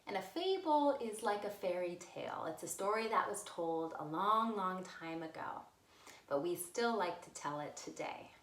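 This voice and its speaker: A woman telling a story to young children as a librarian, with simple, deliberate phrasing. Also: fairly low pitch, lively intonation. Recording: roomy, echoey room sound.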